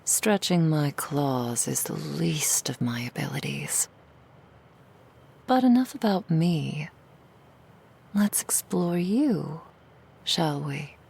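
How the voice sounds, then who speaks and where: A woman voicing a seductive, cruel female demon in an ASMR roleplay, in a slow, breathy whisper full of mocking, intimate taunts. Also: deep voice, expressive, a little mellow, exaggerated voice. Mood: silky menace.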